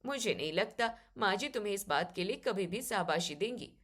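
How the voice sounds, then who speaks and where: A kindly elder sister-in-law in a storybook family drama, played by a woman. She has a mid-pitched, familiar voice and talks with caring concern. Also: animated, normal speed, fairly bright tone.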